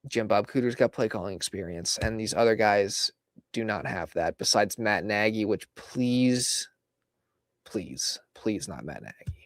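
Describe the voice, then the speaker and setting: A man hosting a casual live stream about his football team, chatty and laughing, his voice going hoarse. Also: on the low side, lively intonation, unhurried, no echo, clean studio sound. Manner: chatty, laughs between lines, reads out viewer comments. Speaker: fan show host. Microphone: desk microphone.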